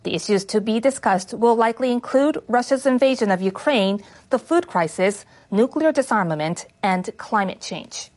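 A woman's voice: on the high side, lively intonation, normal speed, few pauses, darkish tone. A woman reading scripted international news for radio as a newsreader. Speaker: news reader.

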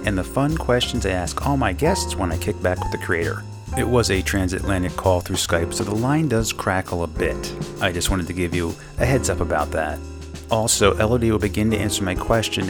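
A man hosting an interview show, speaking in a slightly low voice with a measured, deliberate delivery. He introduces his guest and puts questions to her plainly. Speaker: podcast host.

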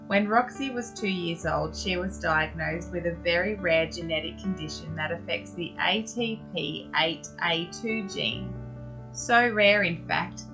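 A woman's voice: lowish voice, low-quality recording. Australian woman hosting a podcast. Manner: gentle, encouraging questions with short affirmations. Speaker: podcast host. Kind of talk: podcast interview.

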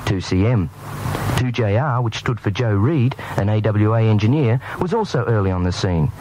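A man's voice: on the low side, animated, moderate pace. Man narrating radio history over noise. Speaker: documentary narrator. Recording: noisy old radio broadcast.

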